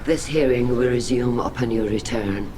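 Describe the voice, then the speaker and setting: A man voices a grave alien elder presiding over a tribunal in a video game. His mid-pitched delivery is formal, measured and ceremonial, with clear background ambience behind it. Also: noisy background, natural voice.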